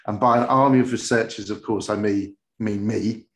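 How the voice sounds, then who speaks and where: A man's voice: on the low side, fairly even intonation, moderate pace. A man hosting a podcast interview in a casual, jokey and rambling conversational way. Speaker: podcast host. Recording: roomy, echoey sound.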